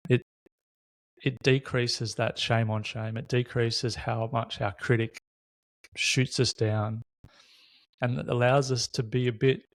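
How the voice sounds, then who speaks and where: A man's voice: mid-range voice, no room sound. A man hosts a reflective, unscripted conversation, speaking candidly and casually in short, considered bursts. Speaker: podcast host.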